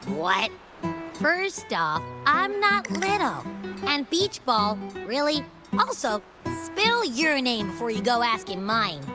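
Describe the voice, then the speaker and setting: A woman's voice: fairly high pitch, sing-song, slow. A woman voicing a small, round, feisty talking creature in a video game, cute and sassy. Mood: indignant, sassy. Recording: music behind.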